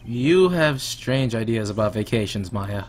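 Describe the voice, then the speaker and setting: A man's voice: lowish voice, expressive, a little slow, a little hiss or hum. A man voicing an earnest, determined young male defense attorney in a game dub. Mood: questioning.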